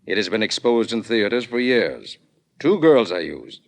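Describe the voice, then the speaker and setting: An older stage-magic craftsman in a 1940s radio play. He explains formally in a low, widely swinging voice, measured and matter-of-fact. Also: sing-song, creaky. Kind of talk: 1940s radio drama acting.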